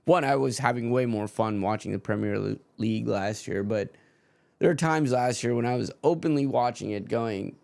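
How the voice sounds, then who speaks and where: A man's voice: medium pitch, normal speed, gravelly, a little mellow, dry recording. A man streaming a live football match and ranting frankly about his team's manager, swearing freely in casual chat.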